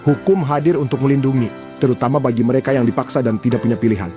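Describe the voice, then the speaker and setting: A man voicing a calm, firm police officer in an animated drama, speaking formally and with authority. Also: mid-range voice, racing, over music, lightly acted.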